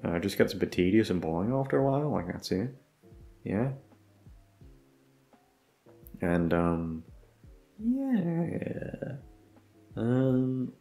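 A young man with a low voice and a creaky edge chats casually to his listeners. He rambles hesitantly and unscripted, swearing here and there, with faint music. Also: warm tone. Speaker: audio roleplay creator.

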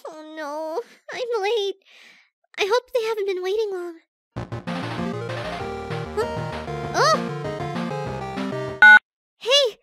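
A flustered teenage anime girl with a tiny, extremely high voice. She stammers shyly about her crush, taking her time with hesitant, excitable delivery. The tone is bright and girlish. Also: very slow, pauses often, no echo, studio quality, soft background music, exaggerated voice. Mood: bright, hurried. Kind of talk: anime roleplay audio drama.

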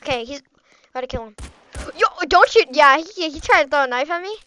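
A woman giving casual, slangy running commentary while playing a video game, reacting out loud as things happen. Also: high voice, expressive, a little fast. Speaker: gameplay streamer.

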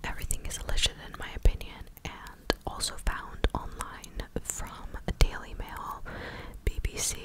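A woman in her twenties whispering close to the mic in a breathy, casual, chatty ASMR style. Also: low-quality recording. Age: 20s. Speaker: ASMR video host. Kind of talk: whispered ASMR news recap. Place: small living room indoors. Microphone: large desk condenser mic, very close.

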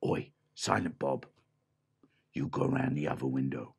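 A man voicing a bossy, blustering male bandit leader who barks orders and drops to a gruff hush when scheming. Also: fairly low pitch, wildly swooping pitch, takes it slowly, heavily acted.

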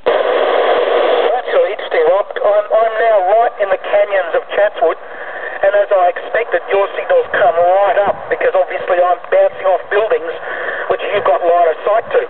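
Male ham operator, casual, tinny two-way radio link buried in loud noise. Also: high-pitched, fairly even intonation, moderate pace, recorded from a distance. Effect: two-way FM radio transmission. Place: in a moving vehicle.

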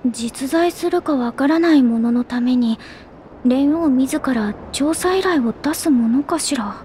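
A woman voicing a calm, questioning female traveller with measured speech in a video game. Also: sits high, a little hiss or hum. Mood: curious, doubting. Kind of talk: video game cutscene acting.

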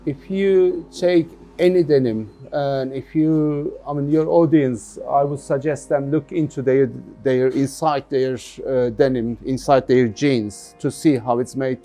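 A middle-aged man from London giving thoughtful interview answers as a business founder, haltingly and often repeating words. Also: medium pitch, steady tone, warm tone, soft background music. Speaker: jeans factory founder being interviewed.

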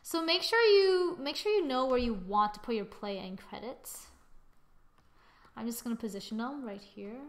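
Young adult female tutorial presenter, higher-pitched, informal, thinks out loud while working. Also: expressive, normal speed. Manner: informal, thinking aloud, muttering asides and sing-song filler. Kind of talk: casual screen-recorded software tutorial. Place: indoors, voice-over to a screen recording.

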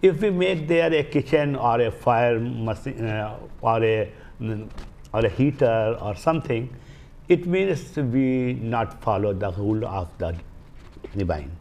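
Halting older man with Indian accent, creaky voice. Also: medium pitch, lively intonation, darkish tone. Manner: halting, searching for words, repeats phrases. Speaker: guest, Vastu healer. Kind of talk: explaining in a studio interview. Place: small indoor TV studio. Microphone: lapel mic.

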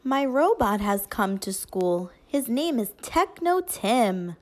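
Female teacher, fairly high voice, very lively intonation, deliberate child-directed picture book reading. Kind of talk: expressive picture book read-aloud.

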